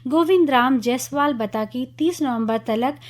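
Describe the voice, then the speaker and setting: A woman with a Kumaoni accent reads scripted regional news for radio. Her voice sits on the high side as she delivers each item as a newsreader. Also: unhurried.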